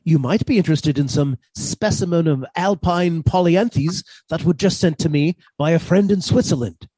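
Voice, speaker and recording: An actor playing a mild, henpecked older botany professor with a halting, polite manner in a radio suspense drama. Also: male voice, on the high side, dark tone, no room sound, natural voice, rough recording. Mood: shy, courteous.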